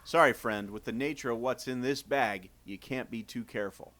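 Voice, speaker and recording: An actor playing a bold, excitable adventure fan, protective of friends, with dramatic delivery in an audio drama. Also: male voice, mid-range voice, moderate pace, close to an everyday voice. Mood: impressed, apologetic.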